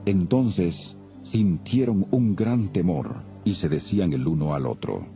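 Deep, expressive male Bible narrator. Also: a little slow, vocal fry. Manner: solemn, expressive storytelling. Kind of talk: dramatic scripted Bible narration. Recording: dull audio with music bed.

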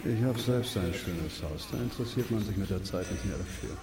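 A man's voice: lowish voice, fairly quick, lightly acted. An actor playing a lecherous, domineering wealthy man in a German radio drama, insinuating and imperious. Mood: smooth, flirting. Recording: echoey hall, music behind.

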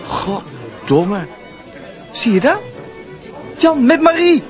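A man's voice: very high-pitched, huge pitch swings, drawn-out words, exaggerated voice. A male actor in a Dutch radio drama playing a brash, chatty young man, blunt and breezy. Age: young adult. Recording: music and crowd of dance hall behind.

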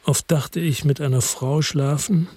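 A brooding, world-weary ex-cop. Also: male voice, on the high side, steady tone, measured pace, mellow, breathy edge. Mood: reflective. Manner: reflective, laconic first-person narration. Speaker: first-person narrator and lead. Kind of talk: radio drama narration.

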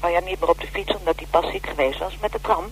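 An actress playing a wary, aggrieved middle-aged widow in a radio play, speaking hesitantly and defensively. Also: female voice, on the low side, fast, lightly acted. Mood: shaken, hesitant. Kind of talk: naturalistic radio play acting. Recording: noisy old radio broadcast with hum.

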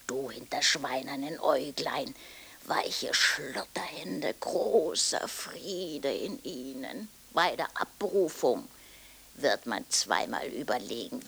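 An actress playing a heavy, morose voice from a drawer in a radio play, breathy and cracked, in halting half-whispered fragments. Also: female voice, rumbling low, sharp tone, heavily acted. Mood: stubborn, brooding. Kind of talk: 1990s German radio play monologue.

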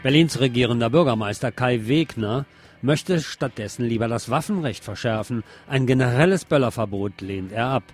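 A man's voice: mid-range voice, lively intonation, normal speed, over music. A man presenting scripted news commentary as the host of a show.

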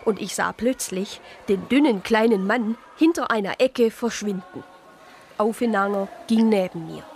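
An actress playing a composed Protestant deaconess, narrating and speaking in a radio crime drama. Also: female voice, medium pitch, unhurried, a little hiss or hum, lightly acted. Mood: sober narrating. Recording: music behind the voice.

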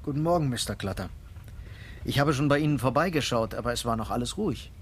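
An actor playing a polite, modest farmhand speaking deferentially in a naturalistic radio drama. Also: male voice, mid-range voice, animated, fast talker, a little hiss or hum, natural voice. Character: polite, deferential male farmhand, young father.

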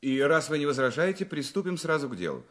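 A man acting a courteous, probing, confident detective in a Russian audio play, questioning politely and deliberately. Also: fairly high pitch, sing-song. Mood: polite, inquisitive.

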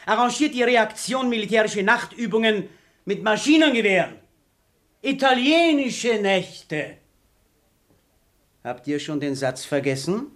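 An actor playing a defiant, militant young party rebel in a radio play, combative and given to speechmaking. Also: male voice, high-pitched, a bit bright. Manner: combative, oratorical.

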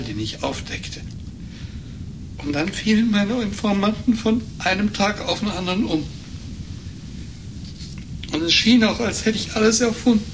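An actor playing an agitated, embittered failed writer in a German radio play, speaking haltingly and accusingly. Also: male voice, sits high. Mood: wounded, furious. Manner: halting, emotionally wrought, accusing. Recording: noisy 1980s radio play recording.

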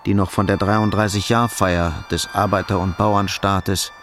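A man narrating a radio drama in a sober, measured, literary storytelling style. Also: sits low, level tone, a bit bright, a little hiss or hum.

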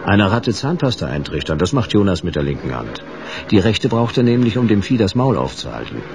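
An actor playing a hard-boiled private detective who narrates a radio play in a dry, sardonic way. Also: male voice, on the low side, big pitch swings, fairly quick, a little mellow, soft background music. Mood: wry, sardonic. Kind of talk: scripted first-person radio play narration.

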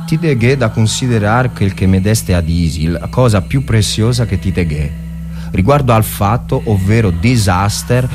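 A humble peasant soldier, acted by a male reader confesses in a letter home. The voice is pitched down, with a northern Italian dialect accent and a plodding, solemn, clumsily formal manner. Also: lightly acted.

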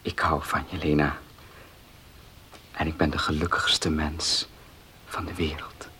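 An actor in a Dutch radio play playing a proud, domineering farmer and husband who speaks emphatically. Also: male voice, a bass voice, little pitch movement, measured pace, bright, forceful tone, full character voice. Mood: tender, hushed. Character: proud, domineering gentleman farmer, loving but stubborn husband.

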